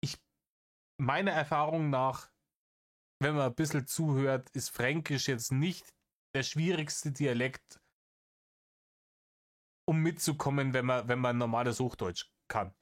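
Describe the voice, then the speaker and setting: A man's voice: medium pitch, a little slow, pauses often. A man giving satirical reaction commentary in an exasperated, sarcastic manner, swearing freely.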